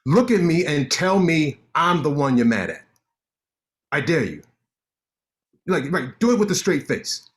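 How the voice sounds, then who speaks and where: An actor playing a cocky, sharp-tongued male lawyer in a staged play reading, teasing his friend with quick banter. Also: a little high, big pitch swings.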